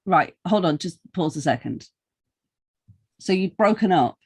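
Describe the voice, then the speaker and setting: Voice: mid-range voice, lively intonation, a little slow, husky. A podcast interviewer in casual banter, interjecting with dry, teasing remarks.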